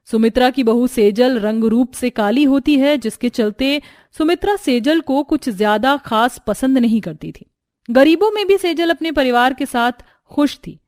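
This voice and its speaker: Higher-pitched woman narrating a story. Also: darkish tone, dry recording, studio quality. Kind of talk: scripted moral-story narration.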